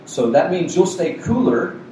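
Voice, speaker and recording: A man's voice: high voice, big pitch swings, a little slow, warm tone, heavy reverb, far from the mic, low-quality recording. A man preaching a Sunday sermon as a pastor, with a soothing voice and a conversational, joking manner. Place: community center meeting room with a cooling unit. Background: faint hum of a cooling unit.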